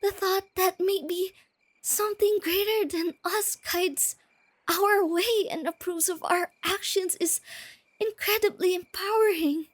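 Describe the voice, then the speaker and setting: A woman playing a shy, nerdy young girlfriend in a soft-spoken ASMR roleplay, sweet and earnest, explaining things gently and without hurry. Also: very high voice, steady tone, slow, no echo. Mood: excited, wistful.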